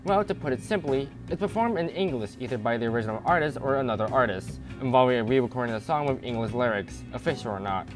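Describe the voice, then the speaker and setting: A man's voice: mid-range voice, lively intonation, fast, few pauses. Young man narrating over background music. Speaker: video essay narrator. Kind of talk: scripted commentary narration.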